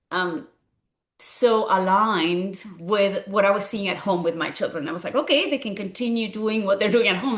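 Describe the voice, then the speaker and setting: A woman in her forties from Argentina, speaking with an Argentine Spanish accent, describing her path from medicine to founding a school in an animated, earnest way with many fillers. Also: a little high. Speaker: guest, school founder and physician. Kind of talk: conversational interview.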